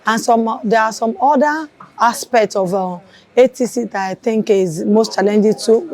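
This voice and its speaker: A woman with a Nigerian accent talks in an interview. Her tone is very warm and dark at a medium pitch. She answers in a roundabout way, circling back to what she has said. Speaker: air traffic controller.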